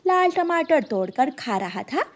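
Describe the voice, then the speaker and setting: A woman's voice: high voice, sing-song, measured pace. A woman narrating a scripted children's story.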